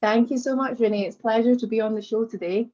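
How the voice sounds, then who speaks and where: A Scottish woman from Glasgow in her late twenties, a guest in an interview, telling her story in a relaxed, easygoing way. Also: on the high side, quick pace. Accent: Scottish (Glaswegian). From Glasgow, Scotland. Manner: relaxed, storytelling, frequent "um" and "kind of". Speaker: guest, social media agency founder. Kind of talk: conversational interview. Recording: dull lo-fi remote audio.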